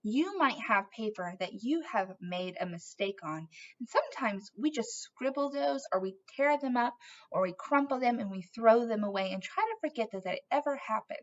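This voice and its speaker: A woman's voice: on the high side, expressive, normal speed, highs cut off. A woman presenting an instructional craft lesson for children as an art teacher, in an encouraging way with questions to young viewers.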